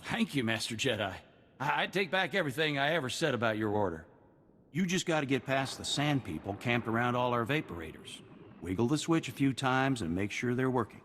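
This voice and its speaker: A man's voice: mid-range voice, expressive, brisk, a bit bright, in a large hall, a little hiss or hum, lightly acted. A man voicing a folksy, grumbling but grateful frontier farmer in a video game. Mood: awkwardly grateful. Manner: folksy rural speech, drops endings.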